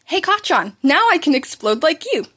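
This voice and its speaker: A woman's voice: high voice, very animated, normal speed, a bit bright, pitched above the performer's own voice. A woman voicing a cheerful, teasing teenage superhero boy in a fan-fiction reading, breezy and explanatory.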